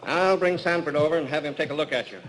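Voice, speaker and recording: An actor playing a practical, protective, sceptical brother in a brisk, firm 1940s radio style. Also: male voice, a little high, a bit bright, close to an everyday voice. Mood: firm, reassuring. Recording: noisy vintage radio broadcast.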